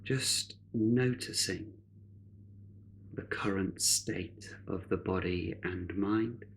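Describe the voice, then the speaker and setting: A man leading a guided meditation in a measured, unhurried way, leaving long pauses between phrases. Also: deep voice, gravelly, slight background noise.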